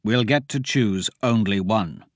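A man's voice: lowish voice, a little slow, no echo, studio quality. A man voicing a cynical, disgusted male thief who grumbles tersely.

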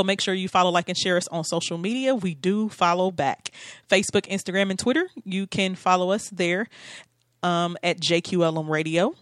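A woman hosts a show in a smooth, clear voice. She speaks casually and haltingly, feeling her way through her sentences. The sound is dry and close, with little room around it. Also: fairly low pitch, no room sound. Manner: halting, hesitant when asking questions. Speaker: podcast and radio show host. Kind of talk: casual conversational interview.

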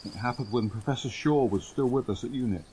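Male actor as loyal soldier, medium pitch, deferential, blunt, down-to-earth, faint background noise. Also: a little fast, a little mellow, lightly acted. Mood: sombre. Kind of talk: audio drama acting.

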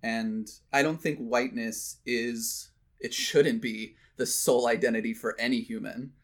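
A man's voice: medium pitch, fairly even intonation, measured pace. A man giving his opinions in casual conversation, emphatic and given to voicing imagined quotes.

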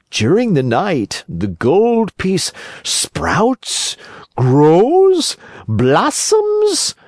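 A storybook reader voicing a sly, scheming fox who coaxes slowly and smoothly. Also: mid-range voice, darkish tone, dry recording, clean studio sound, pitched above the performer's own voice, exaggerated voice. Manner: drawn-out, coaxing.

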